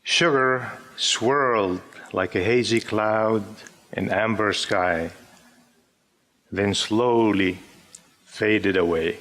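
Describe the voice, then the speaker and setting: A man in his fifties from Syria, an Arabic speaker, reading his poems aloud to a live audience in a gentle, measured way. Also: fairly low pitch. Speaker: poet. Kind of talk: poetry reading with spoken introductions. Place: live gathering before an audience.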